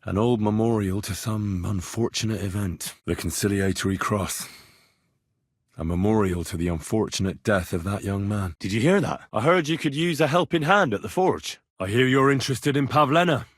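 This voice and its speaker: A man playing a young, earnest, wry medieval adventurer in a video game, slightly husky, muttering his thoughts and quick to curse. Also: lowish voice, no room sound, studio quality. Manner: muttering thoughts to himself, bursts into shouts. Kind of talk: acted video game voice lines.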